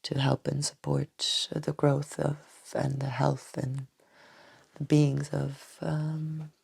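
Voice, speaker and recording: A woman from Tanzania with a low, gravelly voice that stays dark and husky. She answers at a measured, hesitant pace, often breaking off and restarting her phrases before they trail off softly. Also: little pitch movement. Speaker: doctor and acupuncturist, podcast guest. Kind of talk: reflective interview answers. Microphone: podcast microphone.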